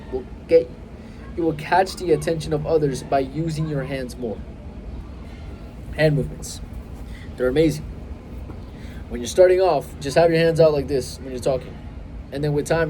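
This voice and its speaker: A young man in his twenties giving confident, emphatic self-improvement advice straight to his phone outdoors, varying his pace and pauses. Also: on the high side, noisy background. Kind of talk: direct-to-camera advice vlog. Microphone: phone held close.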